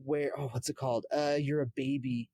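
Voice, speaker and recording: A man chatting casually as a podcast co-host, often pausing to search for his words. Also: medium pitch, little pitch movement, dark tone, dry recording, studio quality. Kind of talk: casual chat about horror games and films.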